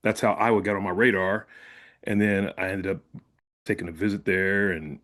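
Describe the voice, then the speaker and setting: A man in his fifties from Ohio, a former college fullback, telling stories in a relaxed, reflective way as a guest in an interview. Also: low voice, moderate pace. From Columbus, Ohio. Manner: relaxed, reflective storyteller with frequent "like". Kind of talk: casual interview storytelling.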